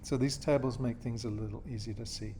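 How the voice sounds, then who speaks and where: A man from Australia teaches a lesson in a patient, step-by-step way. His voice sits at a middle pitch and he keeps checking that his students follow. A faint background noise runs under the recording. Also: steady tone, brisk, warm tone. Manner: patient, checks in with "okay?" and "yeah?". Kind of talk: classroom lesson explanation.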